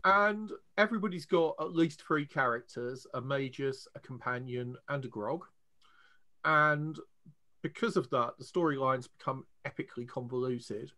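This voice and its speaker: A man's voice: mid-range voice, normal speed, sharp tone. A man with an English accent, casually explaining a tabletop roleplaying game as its game master.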